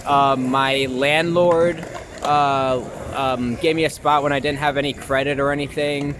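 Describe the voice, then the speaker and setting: A man's voice: mid-range voice, steady tone, a little slow, noisy background. A young American man giving casual, somewhat hesitant interview answers in informal language. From Long Island, United States. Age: young adult. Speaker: actor being interviewed.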